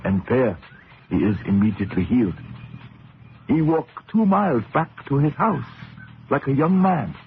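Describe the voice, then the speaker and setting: A devout mechanic who believes in folk healing, speaking simple, halting English with a foreign ring. His mid-pitched voice is earnest and mystical. It comes through a narrow, clearly noisy vintage radio recording. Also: expressive. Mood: solemn conviction. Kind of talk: old radio drama acting. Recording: noisy muffled narrowband old radio broadcast.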